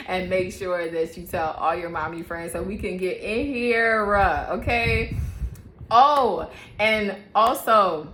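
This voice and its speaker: A woman's voice: mid-range voice, takes it slowly, a bit bright, reverberant, slight background noise. A woman hosting her own faith-based mom podcast, talking casually to camera, chatty and often laughing, repeating herself for emphasis. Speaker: podcast host and mother.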